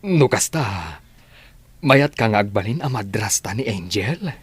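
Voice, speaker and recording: A widowed father in a radio drama, pleading his love sincerely. His voice is very deep and husky, and it rises and falls with feeling. He sounds earnest and tender in the Ilocano soap style. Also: very animated, a little slow, dry recording, heavily acted. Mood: earnest, persuading.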